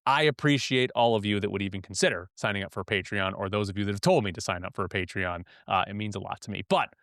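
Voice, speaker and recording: A man hosting a sports podcast, talking in a relaxed, conversational way and plugging his show directly. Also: on the low side, quick pace, dry recording, clean studio sound. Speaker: podcast host. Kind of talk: casual sports podcast chat.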